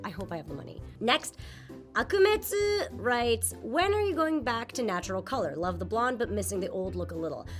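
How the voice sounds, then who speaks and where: A young woman from Japan, a native Japanese speaker, chatting upbeat in English as she answers viewer questions in a casual vlog. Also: mid-range voice. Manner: chatty, upbeat, with small laughs and fillers.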